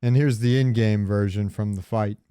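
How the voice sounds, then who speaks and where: A man's voice: lowish voice, fairly even intonation, normal speed, dark tone, smooth, no echo. A man co-hosting a casual gaming podcast, talking in a meandering, conversational way. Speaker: podcast co-host.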